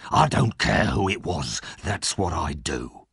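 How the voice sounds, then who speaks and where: A man's voice: deep voice, sing-song, unhurried, vocal fry, fairly bright tone, breathy edge, no room sound, clean studio sound, heavily acted. A man voicing a brutal, hot-tempered robber in an audiobook, threatening and aggressive. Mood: violent threats.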